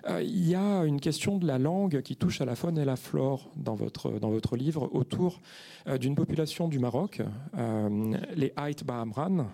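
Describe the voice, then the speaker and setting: A man moderating a literary panel, working his way through long questions with plenty of hesitation. His voice sits at an ordinary pitch and his delivery stays measured. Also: creaky, dark tone. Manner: measured, hesitant with many fillers. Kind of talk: panel discussion.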